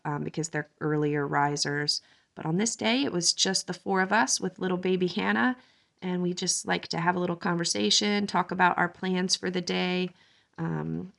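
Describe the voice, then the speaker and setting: A woman in her thirties gently narrating a homesteading family's day as a conversational vlog voice-over, with frequent "um" fillers. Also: fairly low pitch, creaky. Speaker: homesteading vlogger and mother. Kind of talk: relaxed voice-over narration of a daily-life vlog.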